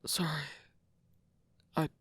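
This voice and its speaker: A man voicing a flirtatious villain who is tender underneath, in an intimate ASMR romance roleplay, speaking softly and haltingly in a husky, breathy voice. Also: no echo. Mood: earnest, hesitant. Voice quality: breathy, husky, often near a whisper. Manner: halting, hesitant, soft-spoken confiding. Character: flirtatious male villain, tender and sincere beneath the teasing.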